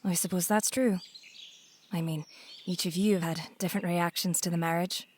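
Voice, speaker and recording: A woman playing an earnest young new wife in an audio drama, gentle and polite but persistent. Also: fairly low pitch, steady tone, moderate pace, natural voice. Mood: tentative, soft. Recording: faint noise, birdsong behind the voice.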